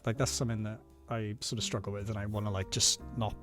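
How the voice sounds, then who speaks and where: A man's voice: lowish voice, little pitch movement, fast, over music. A man in his thirties from South Wales with a South Welsh accent, casually commenting on his own footage, nervous and self-deprecating, laughing often and swearing freely. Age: 30s.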